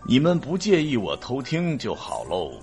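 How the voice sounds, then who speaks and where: A man playing a genial, avuncular older chief scientist who speaks deliberately in a sci-fi audio drama. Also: medium pitch, a little mellow, full character voice.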